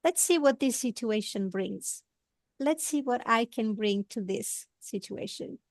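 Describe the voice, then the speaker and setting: Italian-accented woman hosting a podcast, earnest and gentle, higher voice, dry booth sound. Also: lively intonation, measured pace, no room sound. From Italy. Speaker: podcast host. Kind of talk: podcast interview.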